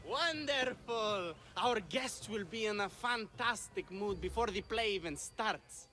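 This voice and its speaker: A man's voice: sits high, lively intonation, measured pace, pushed and bright, heavily acted. An actor playing a self-important Greek playwright and leading man, effusive and grandiose, in a video game. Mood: delighted. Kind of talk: video game dialogue acting.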